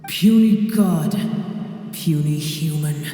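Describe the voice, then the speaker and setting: An actor voicing an ancient, contemptuous, ailing dragon in a video game, grandiose and bitter, with heavy reverb. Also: mid-range voice. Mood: scornful. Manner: grandiose, slow, scornful. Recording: cavernous reverberant hall effect, music behind.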